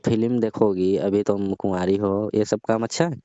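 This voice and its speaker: A man acting as a teasing, hesitant young man on a staged phone call. He has a mellow, relaxed voice of medium pitch and talks casually and evasively. The recording is dull and dry. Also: normal speed, smooth, no echo, lightly acted. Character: teasing, hesitant young man courted by his female cousin. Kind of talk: staged phone conversation, colloquial chat.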